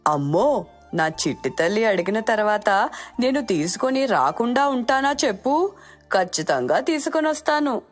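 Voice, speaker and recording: A devoted, worried mother of little means acted by a woman in a moral storybook tale. Her plaintive, doting delivery moves widely in pitch, with music underneath. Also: very animated, moderate pace. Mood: doting, reassuring. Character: poor, devoted, anxious mother who works as a maid.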